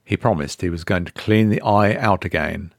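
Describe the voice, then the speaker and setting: Male narrator for scripted horror tale: low pitch, measured and suspenseful, close dry sound. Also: gravelly, dry recording. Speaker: narrator reading a horror short story.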